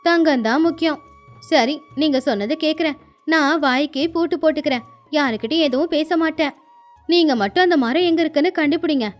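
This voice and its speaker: High-voiced greedy village wife played by a woman. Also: a little fast, natural voice. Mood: eager. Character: Kanaka, quarrelsome, greedy, boastful village wife. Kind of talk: acted moral story for children. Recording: faint music underneath.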